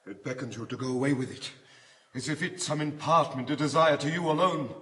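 An actor playing a loyal, anxious gentleman companion to a prince in classical Shakespearean stage drama. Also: mid-range voice, brisk, natural voice. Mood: alarmed. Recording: echoey 1960s stage hall recording.

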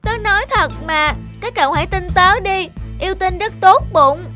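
A woman's voice: extremely high pitch, moderate pace, sharp tone, faint music behind. A woman voicing a kind, earnest little schoolboy in a cartoon, with a sincere, pleading manner. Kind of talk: Vietnamese cartoon voice acting.

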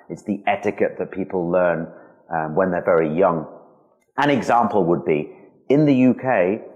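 Deep-voiced middle-aged Londoner teaching. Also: male voice, lo-fi sound. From England. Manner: upbeat and articulate, with lively hand gestures. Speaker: English teacher. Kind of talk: presenting to camera. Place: office room in front of a wall map.

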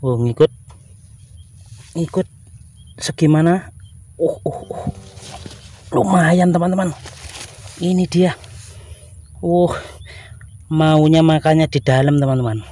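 A man's voice: fairly high pitch, slow, long pauses, warm tone. A man talking casually to viewers while fishing outdoors, with frequent exclamations, recorded on a body-worn camera. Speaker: fishing vlogger. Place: outdoors by muddy rice field water. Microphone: body-worn action camera.

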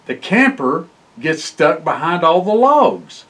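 An older man reading a children's picture book aloud in an expressive way, with exclamations and short asides. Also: on the high side, slow pace. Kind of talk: storybook read-aloud for children. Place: indoor library room, seated by bookshelves.

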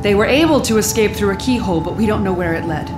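A woman's voice: mid-range voice, fast talker, close to an everyday voice. Actress doing tough immortal lady leader, firm and commanding, echoey room, music behind. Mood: grave, urgent. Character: steely, determined immortal woman leader. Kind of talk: audio drama acting. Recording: roomy echo, music underneath.

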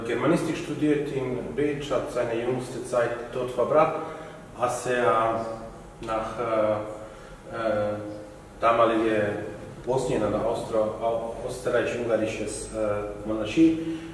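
A man speaks slowly and haltingly from a lectern, pausing often between phrases. He is heard from well back in a big reverberant room. A soft room hum runs underneath. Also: medium pitch, little pitch movement. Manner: slow, halting, many fillers. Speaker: speaker introducing poetry. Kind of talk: lecture talk. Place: event hall, indoors, at a lectern. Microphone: far room microphone. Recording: echoey hall, distant mic.

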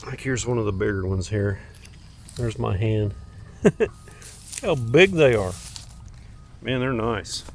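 A man's voice: fairly low pitch, animated, slow, pauses often, darkish tone. A man giving a casual, unscripted garden tour outdoors as a homestead vlogger, in a folksy, plainspoken way. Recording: outdoor recording with faint ambient noise.

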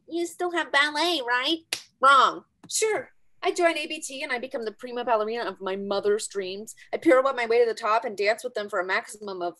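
A woman's voice: sits high, bright, forceful tone. A young woman with an American accent playing an anxious, dramatic teenage girl in a play reading, theatrical, sarcastic and rambling. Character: anxious, dramatic teenage girl, aspiring student and ballet dancer. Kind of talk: virtual staged play reading. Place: bedroom, indoors. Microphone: computer video call.

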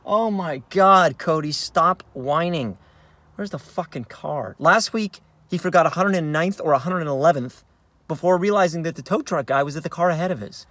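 A man's voice: a little high, moderate pace, fairly bright tone, no room sound. A man in his thirties, an actor playing a frazzled, wry stay-at-home dad in a dramatic monologue recorded inside a car. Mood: exasperated. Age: 30s. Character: frazzled, self-deluding young stay-at-home dad, cursing and scheming. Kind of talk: dramatic staged reading, third-person monologue. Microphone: phone or camera at arm's length.

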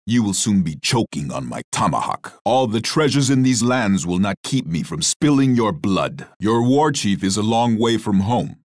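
An actor playing a proud, stern Sioux war chief in a strategy video game, speaking in a measured, declamatory way. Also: male voice, on the low side, big pitch swings, darkish tone, no room sound, clean studio sound. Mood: menacing, proud. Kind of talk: scripted strategy game character lines.

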